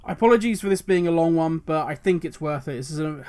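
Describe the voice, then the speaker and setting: High-voiced man with a hoarse voice. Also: lively intonation, quick pace, darkish tone. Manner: passionate, rambling, musing aloud. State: voice going hoarse. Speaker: video host. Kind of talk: solo commentary on game lore.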